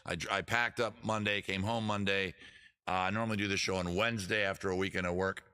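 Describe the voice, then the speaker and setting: A man with a raspy voice hosting a casual livestream show, chatting quickly and loosely with viewers in the chat. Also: low voice, fairly even intonation, pushed and bright. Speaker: livestream music show host.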